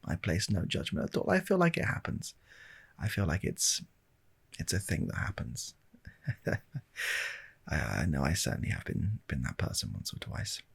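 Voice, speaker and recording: British guy doing asmr storytime, really breathy n husky, bit creaky, rambles and hesitates. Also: deep voice, wildly swooping pitch, moderate pace, pauses often. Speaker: ASMR video creator. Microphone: handheld stereo recorder, close up.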